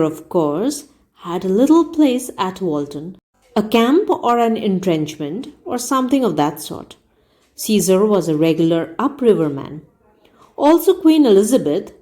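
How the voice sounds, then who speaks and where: Woman, mellow soft-toned voice, mid pitch, audiobook narration, measured, expressive emphasis on key words. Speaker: storyteller reading a book aloud. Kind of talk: scripted audiobook narration of a comic novel.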